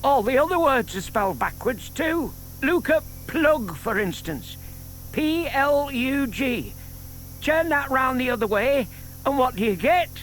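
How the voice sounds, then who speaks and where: High-voiced, earnest older gentleman. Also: lively intonation, measured pace, full character voice. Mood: patiently explaining. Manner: patient, polite, earnestly explaining. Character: shy, scheming older English gentleman, gently persuasive neighbour. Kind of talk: dramatised children's audiobook acting. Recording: audible hiss from old cassette tape.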